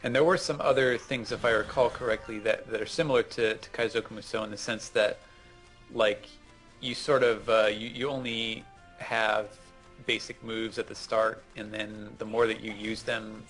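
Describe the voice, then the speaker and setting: A man with a fairly low voice co-hosts a casual game commentary. He explains in a measured, conversational manner, with faint music behind him. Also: level tone, a bit bright. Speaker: co-host. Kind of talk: casual gameplay commentary chat.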